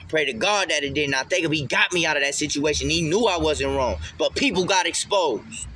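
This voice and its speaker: A man's voice: a little high, brisk, pushed and bright, slight background noise. A man speaking emphatically in street slang in a casual spiritual vlog monologue, often repeating phrases for emphasis. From Puerto Rican heritage. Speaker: vlogger.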